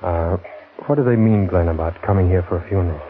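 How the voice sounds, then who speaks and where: An earnest, smitten novelist played by a male actor talks in a lowish voice with bashful, polite hesitations. The delivery is 1940s radio drama, heard through a tinny, narrow broadcast. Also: fast, lightly acted. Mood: polite, embarrassed.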